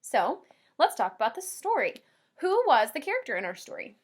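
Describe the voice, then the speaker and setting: A woman kindergarten teacher doing an expressive read-aloud for young children, with drawn-out emphasis and simple explanations. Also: high-pitched, husky. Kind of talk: animated children's read-aloud with comprehension questions.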